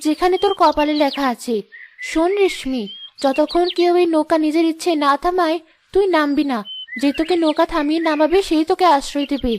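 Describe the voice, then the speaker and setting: A woman's voice: high voice, brisk, slight background noise, natural voice. A woman voicing a caring, reassuring elder fairy sister in a cartoon fairy tale. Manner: reassuring, instructing.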